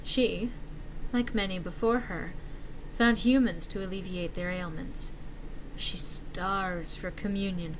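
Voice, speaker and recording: A woman narrates scripted horror with deliberate, ominous delivery. Her voice sits at a middle pitch, and there is clear noise in the recording. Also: low-quality recording.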